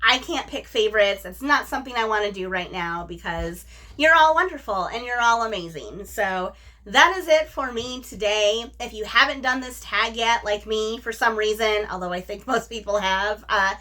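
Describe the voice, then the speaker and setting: Woman, high bright voice, enthusiastic, gushing, casual video chat, book reviewer. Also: moderate pace.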